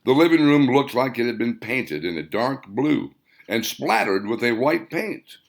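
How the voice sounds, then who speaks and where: A man's voice: mid-range voice, sing-song, measured pace, creaky. A man reading his own novel aloud as scripted audiobook narration, with dramatic, emphatic phrasing.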